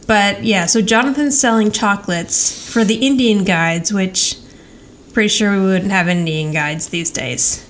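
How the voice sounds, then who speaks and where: A woman's voice: mid-range voice, a little slow, slight background noise. A woman co-hosting a podcast, recapping scenes in detail in a casual, conversational way. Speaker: podcast co-host. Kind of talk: casual episode recap chat.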